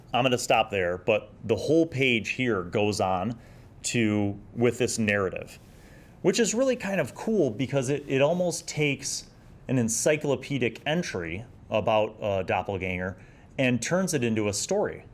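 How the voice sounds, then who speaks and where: A man in his forties hosting a casual review, talking conversationally and enthusiastically and sometimes reading passages aloud. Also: lowish voice. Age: 40s. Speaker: host of a gaming review series.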